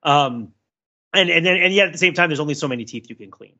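Male podcast host, higher-than-average bright voice, thinking aloud in rambling analytical fashion with frequent "right?" check-ins. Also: animated, highs cut off. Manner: discursive, halting, checks in with "right?". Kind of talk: casual analytical discussion.

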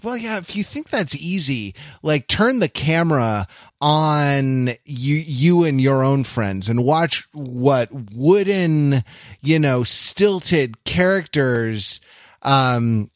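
A man's voice: on the high side, expressive, takes it slowly, a bit bright, dry recording, studio quality. A man co-hosting a podcast, talking casually about film in a hesitant, meandering way that keeps interrupting itself. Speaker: podcast co-host.

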